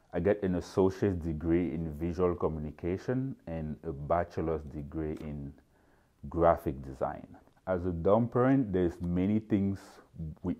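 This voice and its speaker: A man in his thirties, originally from Cameroon, speaking in a relaxed, thoughtful way during a seated documentary interview in a large indoor lounge. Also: sits low, dull audio. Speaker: dorm parent.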